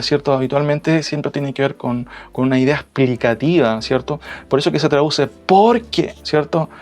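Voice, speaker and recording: A man giving expository Bible teaching in a didactic way, repeating key phrases and often adding short tag questions. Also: fairly high pitch. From Chile.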